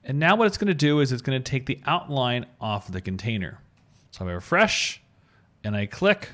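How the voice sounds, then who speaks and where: A man's voice: medium pitch, sing-song, normal speed, fairly bright tone. Man casually walking through a tutorial. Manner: informal, thinks aloud while demonstrating. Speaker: tutorial presenter, web designer. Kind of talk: casual step-by-step screencast narration.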